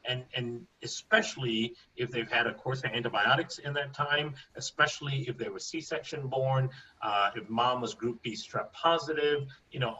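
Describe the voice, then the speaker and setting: A man's voice: medium pitch, pushed and bright, far from the mic, highs cut off, a little hiss or hum. A man from India, a microbiologist guest, explaining at length in an interview and often tagging sentences with "right?". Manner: explanatory, asks rhetorical "right?". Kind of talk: interview answers.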